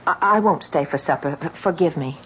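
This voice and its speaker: A woman's voice: low-pitched, brisk, lightly acted. An actress playing an earnest, sceptical woman in a horror radio drama, theatrical and insistent, hesitant when shaken. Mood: shocked, determined. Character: earnest, sceptical woman, defiant sister and narrator of horror tale. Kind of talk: 1970s radio drama acting. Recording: dull, noisy old radio recording.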